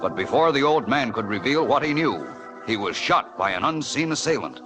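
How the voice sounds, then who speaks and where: A man's voice: medium pitch, big pitch swings, moderate pace. A man narrating a radio serial recap in a dramatic, theatrical 1940s announcer style. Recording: old radio recording, loud music behind.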